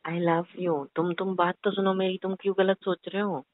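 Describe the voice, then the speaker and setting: A man's voice: high-pitched, little pitch movement, normal speed, no echo, phone-quality sound. Young male, evasive and pleading, casual prank-call chat, close dry sound. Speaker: prank caller. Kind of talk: casual prank phone conversation.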